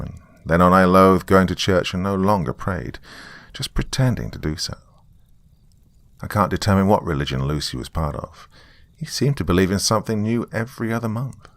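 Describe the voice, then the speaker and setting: A man narrating a scripted first-person story in a measured, confiding way. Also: low-pitched, fairly bright tone, dry recording.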